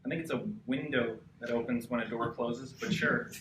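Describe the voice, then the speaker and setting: A man playing a slick, arrogant forty-ish boyfriend in a live table read, smooth and condescending. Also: medium pitch, fairly even intonation, normal speed, close to an everyday voice. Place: cramped bookstore event, live. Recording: echoey live bookshop, clearly noisy.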